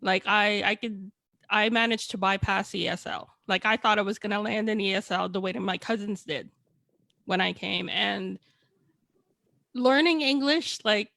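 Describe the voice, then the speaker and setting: A woman's voice: medium pitch, normal speed, vocal fry, sharp tone, no echo. A woman author whose first language is a Chinese dialect and Tagalog, telling her story in a candid, rambling way over a video call. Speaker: author guest. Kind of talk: casual video chat.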